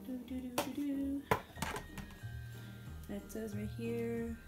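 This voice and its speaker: A woman's voice: a little high, steady tone, takes it slowly, loud music behind the voice. A young woman unboxing toys in a living room, gushing and cooing over them, her voice strained as she is losing it. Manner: gushing, coos over toys, whispers at times. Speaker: unboxing vlogger. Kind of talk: casual unboxing chat.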